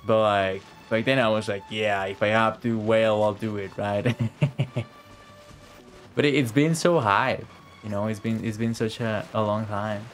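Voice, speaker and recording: A man's voice: on the low side, steady tone, unhurried. A man streaming a game with casual, rambling live commentary full of slang and swearing. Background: faint game music.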